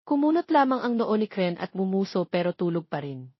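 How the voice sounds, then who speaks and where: A woman's voice: mid-range voice, sing-song, a little fast, husky, dry recording, highs cut off. A Filipino woman narrating a scripted romance story.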